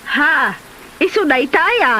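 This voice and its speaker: A woman's voice: high voice, lively intonation, slow, sharp tone, slight background noise, heavily acted, poor audio. A woman acting as a pushy mother who nags her daughter to marry, in a homemade comedy drama. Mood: nosy, surprised.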